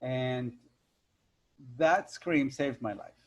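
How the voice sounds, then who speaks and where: A man with a loud voice telling a personal story in a casual interview, acting out the voices of the people in it. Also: mid-range voice, extremely slow. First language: Hebrew. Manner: animated storyteller, acts out voices. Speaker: hypnotherapist guest. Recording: dull lo-fi sound.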